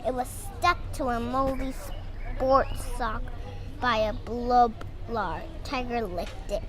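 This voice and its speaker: A young girl reading a storybook aloud in a halting way, stumbling over words. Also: fairly high pitch, fairly even intonation. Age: child. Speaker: young reader.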